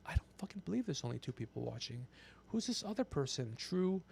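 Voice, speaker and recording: Guy in his 50s doing a livestream, high voice w lots of gravel, sweary and brash. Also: sing-song, brisk, darkish tone, a little hiss or hum. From Persian background. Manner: brash, ranting, swears freely. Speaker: podcast host on a livestream. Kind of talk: casual profane livestream chat.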